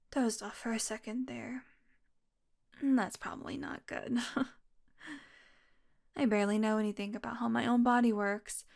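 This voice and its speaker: A woman playing a wounded, exhausted young vampire in an intimate audio roleplay, rambling wearily with sardonic, pained asides. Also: on the high side. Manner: weary, rambling, sardonic, with sighs and breathy asides. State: exhausted, in pain. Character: wounded, exhausted young female vampire, wry and foul-mouthed.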